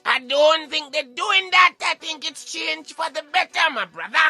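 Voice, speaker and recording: A man debating in formal English, in a halting but insistent manner. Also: extremely high pitch, expressive, gravelly, sharp tone, no room sound, faint music behind. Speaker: radio show co-host.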